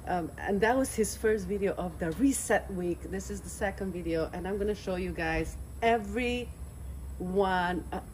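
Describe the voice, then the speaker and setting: A woman's voice: medium pitch, moderate pace, darkish tone, a little hiss or hum, poor audio. Bubbly woman vlogging at home. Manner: bubbly, laughs often, a little tired. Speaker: co-host. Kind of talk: casual vlog chat. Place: outdoor patio, then home kitchen.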